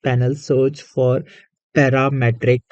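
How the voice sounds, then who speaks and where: A man narrating a casual software tutorial as its host, speaking haltingly with frequent hesitations. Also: medium pitch, flat delivery, no room sound, highs cut off.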